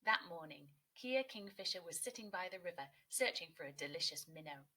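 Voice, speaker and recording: A woman teacher narrating a children's story, expressively and clearly phrased for young listeners. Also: on the low side, expressive, a little fast, sharp tone.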